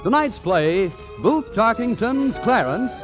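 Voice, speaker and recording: Male vintage announcer, declamatory, high lively voice, muffled recording, loud music behind. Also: slow. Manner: formal, grand, declamatory. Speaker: radio announcer. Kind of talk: 1940s radio announcing. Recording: dull 1940s radio recording.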